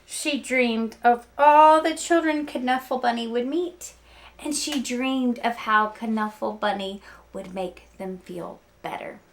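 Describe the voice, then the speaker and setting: A woman teacher reading a picture book aloud to children, with animated emphasis and chatty explaining asides. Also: on the high side, slow pace.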